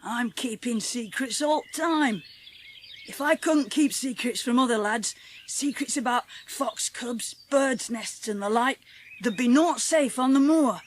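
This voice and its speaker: A woman playing a friendly, gentle country boy with a Yorkshire accent in a dramatised audiobook. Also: on the high side, unhurried. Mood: reassuring. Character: friendly, rustic Yorkshire moorland boy, gentle nature lover.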